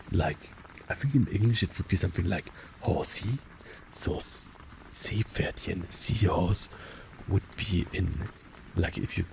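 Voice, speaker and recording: A man teaching vocabulary in a relaxed lesson, often whispering and slowly repeating each word. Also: low voice, vocal fry, noisy background. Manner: soft-spoken, often whispers words, repeats them slowly. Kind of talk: relaxed spoken language lesson with whispered repetitions. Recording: faint rain in the background.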